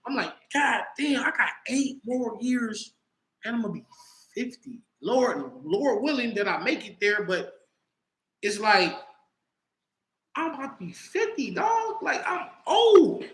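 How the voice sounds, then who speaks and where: A man in his early forties from Los Angeles, chatting loosely on his own livestream with slang, swearing and animated storytelling. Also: very high voice, unhurried, reverberant, rough recording. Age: 40s. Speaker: livestream host. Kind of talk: casual livestream chat.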